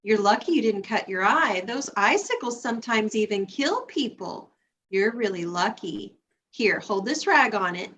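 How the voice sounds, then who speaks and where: Mother, acted by a woman, bright and animated, reassuring, practical, a little scolding, stage-reading comedy. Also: medium pitch, normal speed, slight background noise, close to an everyday voice. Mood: soothing. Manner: matter-of-fact, reassuring. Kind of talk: readers theatre comedy.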